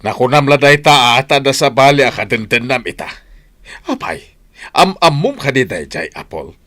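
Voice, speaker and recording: A man's voice: mid-range voice, big pitch swings, moderate pace, fairly bright tone, no echo. A stern scolding father. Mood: reproachful. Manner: lecturing, reproachful. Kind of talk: radio drama acting.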